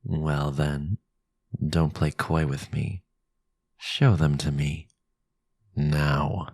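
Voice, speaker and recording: Very deep, gravelly vampire lord. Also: male voice, fairly even intonation, a little mellow, no echo, studio quality, exaggerated voice. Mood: commanding. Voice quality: very low, gravelly, breathy.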